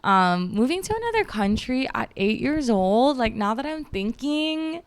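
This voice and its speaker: A woman's voice: fairly high pitch, big pitch swings, a little slow, smooth, dry recording. A woman in her thirties from the Philippines with a light Filipino accent, hosting a casual solo podcast in a chatty way full of "like" and "um". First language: Filipino language. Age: 30s. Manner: chatty, lots of "like" and "um", laughs at herself. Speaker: podcast host.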